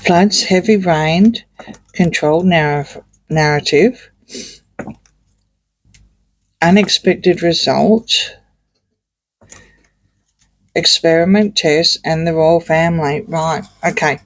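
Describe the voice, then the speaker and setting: A man with a croaky voice, doing a rambling, hesitant tarot reading full of fillers and restarts. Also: a little high, slow, long pauses, dry recording, rough recording. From Australia. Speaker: tarot reader. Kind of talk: casual tarot reading monologue.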